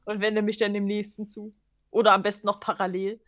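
Woman, medium-pitched voice, casual co-host chat, matter-of-fact, dryly teasing. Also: little pitch movement, fairly quick. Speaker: podcast co-host.

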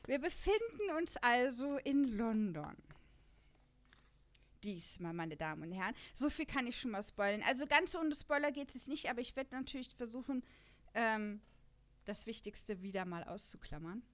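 A young woman hosting a casual podcast, chatting in a lively way and laughing often. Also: fairly high pitch, moderate pace. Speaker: podcast host. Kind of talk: casual chat.

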